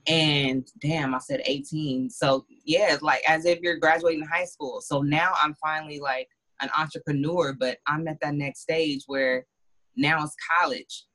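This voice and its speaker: Woman guest, bright forceful tone, earnest and reflective, casual interview. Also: deep voice, steady tone, measured pace, low-quality recording. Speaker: guest, business owner.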